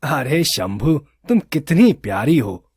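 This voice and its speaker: Kind young villager for an animated story, tender and lively, husky. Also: male voice, a little high, slow, no room sound, studio quality, full character voice.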